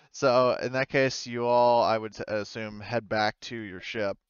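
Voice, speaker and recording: A man narrating a tabletop roleplaying game as game master, in a hesitant, halting way. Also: mid-range voice, fairly even intonation, smooth, no room sound, highs cut off.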